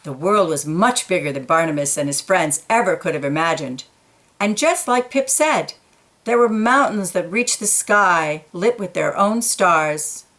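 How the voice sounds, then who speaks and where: A woman reading a children's picture book aloud, pausing for quiet asides about the pictures. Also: on the low side, lively intonation. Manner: slow, gentle, pointing out pictures in quiet asides.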